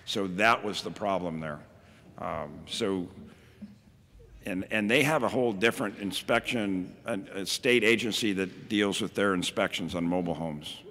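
A man's voice: low-pitched, moderate pace, sharp tone. A middle-aged man giving a plainspoken keynote talk from a podium mic and taking audience questions, with frequent ums and restarts. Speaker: county emergency services director, keynote speaker. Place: large hall at a podium. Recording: reverberant hall.

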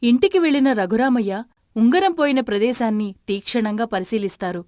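Female storyteller: slightly high pitch, scripted narration, dry studio sound. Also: expressive, a little fast, darkish tone, no room sound.

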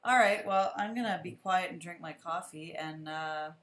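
A woman teacher hosting a casual chat with a guest over a video call, relaxed and chatty. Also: lowish voice, moderate pace. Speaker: teacher and show host. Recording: echoey room.

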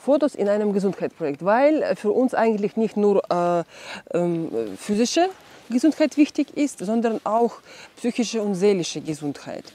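A woman's voice: medium pitch, big pitch swings, normal speed, dark tone. A young woman from Ukraine, sitting in an outdoor garden and thoughtfully explaining the garden's creative projects, with many fillers. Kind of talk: documentary interview.

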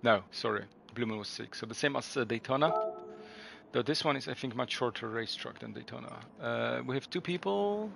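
A man's voice: medium pitch, lively intonation, fairly quick, vocal fry. A man giving casual commentary while playing a racing game, reading the game's dialogue aloud and reacting as he drives. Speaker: gaming video host. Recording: noisy game audio underneath. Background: racing game engine sounds.

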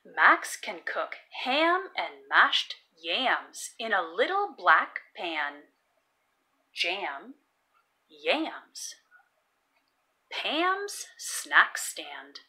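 A woman with a high, lively voice reads a children's picture book aloud. She takes it very slowly, stressing words clearly for young learners in an expressive storytelling style. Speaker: children's read-aloud host.

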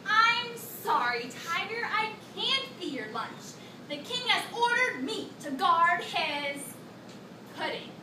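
A tricky little mouse deer acted by a woman, very high and lively, full of exaggerated innocence. It is a children's stage show, picked up from afar in an echoey, noisy room. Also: slow, exaggerated voice. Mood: apologetic, sly. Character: small, quick-witted, tricky female mouse deer. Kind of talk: children's readers theatre performance. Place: classroom, indoors. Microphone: distant camera. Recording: echoey classroom from far, noisy.

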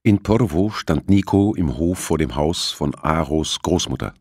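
A man narrates a novel in a low, slightly rough voice. His delivery is scripted storytelling with very animated intonation. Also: unhurried, no room sound, clean studio sound. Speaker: audiobook narrator.

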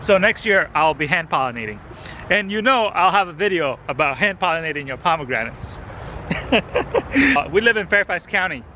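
Excited bright-voiced man outdoors. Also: high voice, moderate pace, a little hiss or hum, poor audio. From El Salvador. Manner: enthusiastic, exclaiming over the fruit. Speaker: gardening channel host. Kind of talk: casual outdoor vlog chat. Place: residential yard outdoors. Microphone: handheld camera at arm's length.

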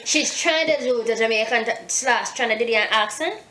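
A woman's voice: a little high, moderate pace, sharp tone. Sassy woman in an echoey kitchen. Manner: sassy, joking, laughing. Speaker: guest vlogger. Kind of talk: casual chat. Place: small home kitchen, indoors.

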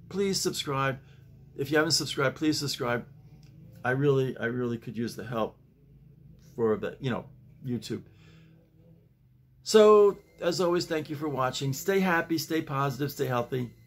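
An older man around seventy with a plain, halting delivery, talking and reading at his desk at home. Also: medium pitch, animated. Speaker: YouTube host and playwright reading own work. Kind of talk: script reading and casual vlog talk. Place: small home office, indoors.

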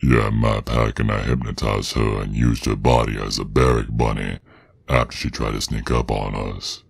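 Man acting a gravelly, bottomless-voiced Southern werewolf father. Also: little pitch movement, no echo, heavily acted. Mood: sadistic menace. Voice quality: very deep, gravelly, husky. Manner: slow, taunting, menacing drawl. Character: vengeful, menacing Southern werewolf father, sadistic and protective. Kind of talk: ASMR roleplay acting.

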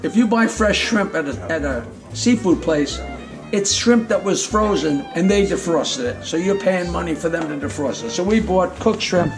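A man with a notably high voice chats casually while he cooks outside at a grill. He tells blunt, wry stories, and music plays clearly in the background. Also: animated, moderate pace. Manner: wry storytelling, blunt jokes. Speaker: comedian cooking on camera. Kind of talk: casual chat while cooking. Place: backyard grill, outdoors.

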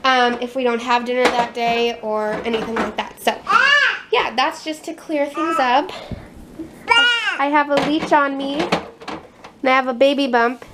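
A young American woman with a high-pitched voice narrates a casual show-and-tell vlog. She takes her time, naming things in short, halting phrases. The handheld camera recording in a home kitchen carries noticeable noise. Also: fairly even intonation. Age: 20s. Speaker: family vlogger. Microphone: handheld camera at arm's length.

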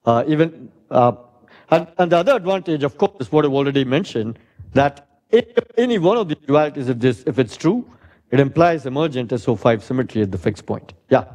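A middle-aged man with a loud voice giving a physics lecture in a lecture hall, thinking aloud with many fillers and "right?" tags. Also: medium pitch, animated, a little fast. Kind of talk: academic lecture.

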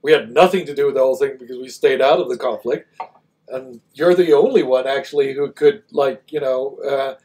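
A man's voice: medium pitch, brisk, darkish tone. Middle-aged man co-hosting, native Swedish and English. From Sweden. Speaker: live stream co-host. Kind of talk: unscripted live discussion. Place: small indoor room, seated.

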